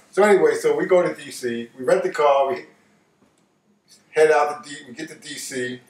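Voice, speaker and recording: Higher-voiced New York man, roomy sound. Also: moderate pace. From New York, United States. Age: late 50s. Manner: animated, repeats phrases for emphasis. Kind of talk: casual personal storytelling. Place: living room, seated on a couch. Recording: roomy, voice distant from microphone.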